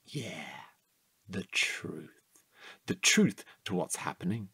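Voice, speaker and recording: A man acting a chatty, curious night-watch guard in a naturalistic audio drama, husky and halting in a playful way. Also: deep voice, big pitch swings, pushed and bright, dry recording, whispered, clean studio sound, full character voice. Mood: musing, conspiratorial.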